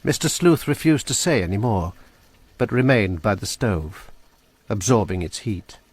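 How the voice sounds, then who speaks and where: A man narrating a radio drama in a measured storytelling manner. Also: fairly low pitch, expressive, husky, no echo. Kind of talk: scripted narration.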